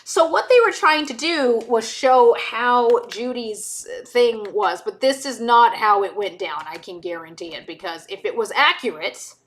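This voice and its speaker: Chatty woman, animated delivery. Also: a little high, unhurried, few pauses. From Southern United States. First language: Hebrew. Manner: rambling, chatty, lots of restarts and asides. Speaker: livestream host. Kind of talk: casual livestream chat.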